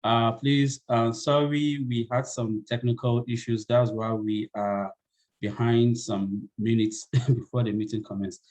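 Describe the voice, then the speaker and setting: A man's voice: medium pitch, little pitch movement, unhurried, darkish tone, poor audio. A man working as a training coordinator, hosting a webinar over a video call, polite and apologetic, with many fillers.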